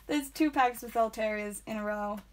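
A woman hosting a live card pack-opening stream, chatting playfully and saying sound effects aloud, with a calming voice. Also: a little high, normal speed. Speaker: trading card stream host. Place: indoor room with whiteboard.

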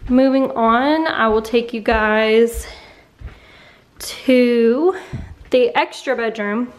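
A woman's voice: fairly high pitch, drawn-out words. A young woman casually narrating a walkthrough of her home as she films it, talking in a chatty, offhand way. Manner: chatty, offhand, trails off mid-thought. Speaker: vlogger giving a home tour. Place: rooms of a mobile home. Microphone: handheld camera while walking.

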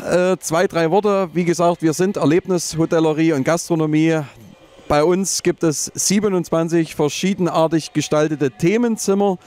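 A man's voice: on the high side, darkish tone. A man in his thirties presenting his business informally as a hotel owner, with hesitant, filler-laden phrasing. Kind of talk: informal promotional presentation to camera. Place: covered outdoor terrace beside model railway. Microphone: handheld microphone with foam windscreen.

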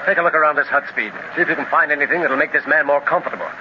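An actor playing a calm, commanding adult leader of an adventure party in a 1930s radio drama, steadily giving orders. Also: male voice, a little high, racing, lo-fi sound, close to an everyday voice. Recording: scratchy old radio transcription with hiss.

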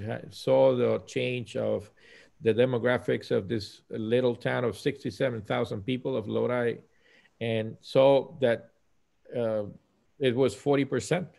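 A man in his fifties from Puerto Rico with a Puerto Rican accent, a native Spanish speaker, talking conversationally as a guest pastor on a video call, halting and correcting himself. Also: mid-range voice, vocal fry, a little mellow. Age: 50s. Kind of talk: conversational personal account. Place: small room at home, indoors.

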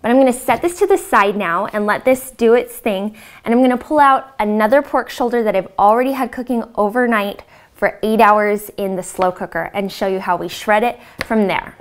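A woman's voice: mid-range voice, normal speed. A woman in her thirties with an American accent, casually explaining a recipe step by step to camera as a cooking host. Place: home-style kitchen, indoors. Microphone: lapel mic.

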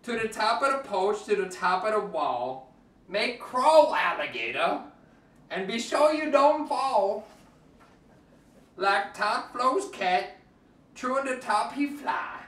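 A man performs a storybook in dialect with playful exaggeration. His pitch swings widely as he takes his time over each line. He is recorded at a distance from the mic in an echoey room. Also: big pitch swings, slow pace, fairly bright tone. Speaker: storyteller reading a picture book aloud. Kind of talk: theatrical storybook reading in Cajun dialect.

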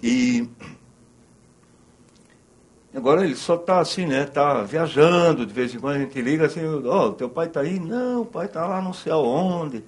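A man's voice: a little high, expressive, normal speed, slightly rough. A man in his fifties with a Brazilian Portuguese accent, hosting a cooking show in a studio kitchen, chatting in a joking, rambling way while he cooks. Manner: joking, rambling, self-interrupting; cooking at the counter while talking. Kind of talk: casual cooking-show chat. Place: TV studio kitchen set, indoors.

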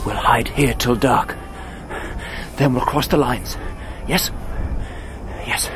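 A man acts a haunted crusader knight in a historical audio drama. He speaks very slowly and haltingly in a medium-pitched voice, devout yet troubled, over music. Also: natural voice. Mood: reassuring, hushed.

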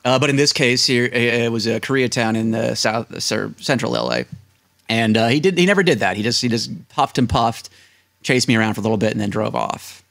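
An American man in his thirties chats as a podcast guest. His pitch sits in the middle and the recording is close and dry. He tells stories casually, slipping into quoted remarks as he goes. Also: expressive, a little fast, creaky, fairly bright tone, no echo. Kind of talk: casual interview anecdotes. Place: small indoor room, seated at desk. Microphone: close desk microphone on video call.

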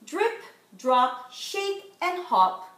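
A woman's voice: very high-pitched, very animated, extremely slow, bright, forceful tone. A middle-aged woman reading a children's picture book aloud, stretching out rhyming words expressively. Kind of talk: animated children's read-aloud. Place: living room at home, indoors. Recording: roomy home recording.

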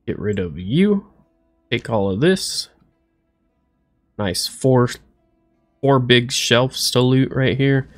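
A man's voice: medium pitch, slow, long pauses, faint music behind. A man in his thirties casually narrating a survival game as he plays, talking to himself in a goofy, playful way. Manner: goofy, talks to himself, playful silly voices. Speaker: let's play streamer. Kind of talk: casual gameplay commentary.

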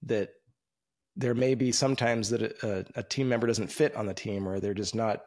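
A man in his thirties hosting an interview over a video call from a room with bookshelves, speaking in a relaxed, reflective, conversational way. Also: fairly low pitch, moderate pace. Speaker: podcast host. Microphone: video call, webcam.

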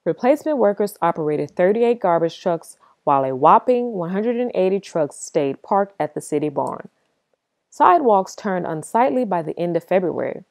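A woman reading a children's picture book aloud as expressive storybook narration, with dramatic pauses. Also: on the low side, no echo, studio quality.

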